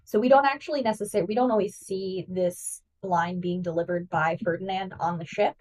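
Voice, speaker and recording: A woman guest-hosting a podcast, opinionated and animated, laughing often in casual discussion. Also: on the low side, moderate pace, far from the mic, poor audio.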